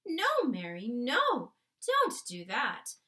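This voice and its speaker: Lady doing a posh genteel queen for a kids story, high voice, very exclamatory, old fashioned wording. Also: huge pitch swings, very slow, pushed and bright, heavily acted. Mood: sharp. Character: famous queen, self-controlled, genteel, easily horrified lady.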